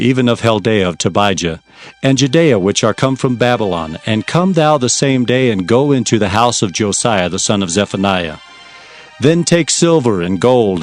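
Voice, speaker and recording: An actor playing an earnest Hebrew prophet, narrating visions in a solemn, emphatic, declamatory scripture-drama style. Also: male voice, lowish voice, natural voice. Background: music.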